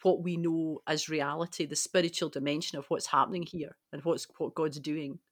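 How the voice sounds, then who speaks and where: A woman's voice: deep voice, fairly even intonation, normal speed, dry recording, clean studio sound. A woman co-hosting a podcast, talking casually and reflectively in discussion. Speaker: podcast co-host.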